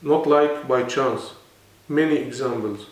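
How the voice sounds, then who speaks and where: A man's voice: medium pitch, measured pace. A man with an Arabic accent, speaking non-native English and explaining earnestly as a guest in a serious interview. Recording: echoey room, mic at a distance.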